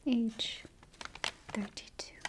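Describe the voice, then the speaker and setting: Slow whispering ghost guide played by a woman. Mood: hushed, eerie. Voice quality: breathy whisper. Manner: slow, hushed, deliberate instructions. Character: ghost guide briefing a newcomer to the afterlife. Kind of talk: soft-spoken ASMR roleplay.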